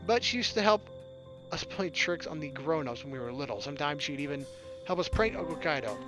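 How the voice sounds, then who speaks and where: A man's voice: fairly high pitch, expressive, brisk. A young man doing casual gameplay commentary and reading visual novel text aloud. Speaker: let's player. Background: game music.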